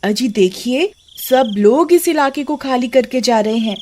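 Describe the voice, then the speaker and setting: A woman voicing a frightened, nagging village wife in an animated horror story, anxious and pleading. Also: sits high, measured pace, slight background noise, pitched above the performer's own voice. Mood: worried, scolding.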